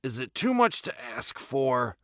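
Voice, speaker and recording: A man's voice: mid-range voice, very animated, unhurried, fairly bright tone, no room sound, studio quality. A man playing a sarcastic, reluctant teenage adventurer in an amateur script read, exasperated and argumentative. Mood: bitter, strained. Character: grumbling, sarcastic, reluctant male teen adventurer.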